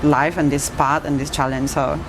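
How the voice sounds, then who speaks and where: A woman's voice: low-pitched, little pitch movement, normal speed, background noise. A woman from Italy with an Italian accent, chatting as a bartender guest, hesitant with many fillers and restarts. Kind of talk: casual interview chat.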